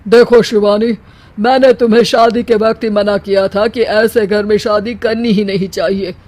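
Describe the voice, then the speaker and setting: A man's voice: high voice, fairly even intonation, normal speed, darkish tone, close to an everyday voice. An earnest, protective family man in a cartoon drama, acted by a man. He talks in a fretful, troubled manner. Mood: indignant. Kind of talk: cartoon moral story voice acting.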